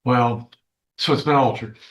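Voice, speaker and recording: Insistent male attorney, medium-pitched. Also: a little slow. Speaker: defense attorney. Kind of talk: formal courtroom objection. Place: courtroom.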